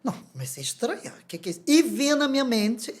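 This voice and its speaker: An older Brazilian woman with a Brazilian Portuguese accent tells her testimony as a podcast guest. She speaks with lively energy and laughs often. She also acts out the words of the people in her stories. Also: on the high side, fast talker. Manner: animated, laughs often, acts out quoted dialogue. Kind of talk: testimony storytelling.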